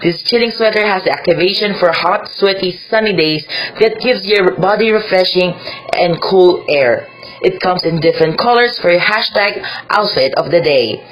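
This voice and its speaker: A woman's voice: on the low side, moderate pace, soft background music, natural voice, poor audio. A woman playing an upbeat announcer reading a scripted radio commercial. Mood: promotional. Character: upbeat product pitch announcer. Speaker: advertisement announcer.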